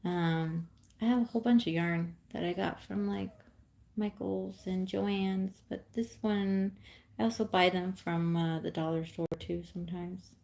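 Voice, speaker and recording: A woman's voice: lowish voice, fairly even intonation, a little slow, creaky, darkish tone. A woman in her thirties from California, chatting casually on a live stream at home while she knits, rambling with many ums and small laughs.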